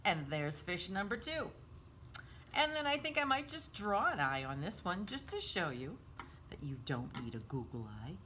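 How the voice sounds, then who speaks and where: Animated woman leading a children's craft. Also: fairly low pitch, normal speed, a little hiss or hum. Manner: sing-song, simple child-directed explaining, often refers to self in third person. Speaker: children's storytime and craft host. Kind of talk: step-by-step craft instruction for young children.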